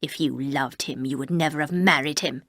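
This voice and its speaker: A woman puts on a bitter, menacing housekeeper who drags her words out slowly and sinks into a hard whisper. The voice sits a touch lower than the reader's own. It is recorded close and dry. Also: no room sound. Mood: cold menace. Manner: slow, low, cold, often whispered.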